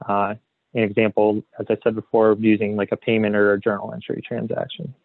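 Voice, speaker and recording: A man presenting a software webinar as a consultant, explaining slides in a hesitant way padded with "you know". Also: lowish voice, completely flat delivery, fairly quick, creaky. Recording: phone-like narrow band, roomy echo.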